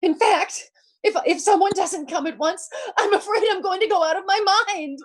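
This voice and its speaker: A frantic invalid woman, alone and terrified in a radio thriller. Her very high voice stammers and repeats words in panic, in the manner of old-time radio suspense. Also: moderate pace. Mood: agitated, distressed.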